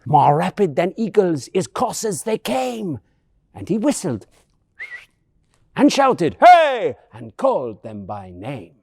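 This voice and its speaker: A man reciting a bedtime story in verse with exuberant, highly dramatic theatrical delivery. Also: wildly swooping pitch, slow pace, creaky, a little mellow, breathy edge, no echo.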